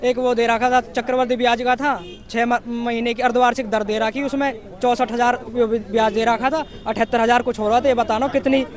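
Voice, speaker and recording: Very high-pitched young man, western UP accent. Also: level tone, a little fast, hardly any pauses. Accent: western Uttar Pradesh. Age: young adult. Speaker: exam candidate being interviewed. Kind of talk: informal street interview recalling exam questions.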